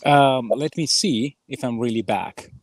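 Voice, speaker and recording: A man co-hosting a sports podcast over a remote call, giving casual basketball analysis in halting, measured, non-native English phrasing. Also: medium pitch, dry recording. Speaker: podcast co-host. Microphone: remote call over mobile connection.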